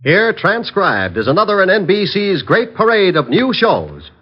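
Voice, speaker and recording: A man's voice: high-pitched, huge pitch swings, normal speed, breathy edge. A man working as a radio announcer, introducing a programme in dramatic 1940s broadcast style. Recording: muffled vintage radio, loud music behind.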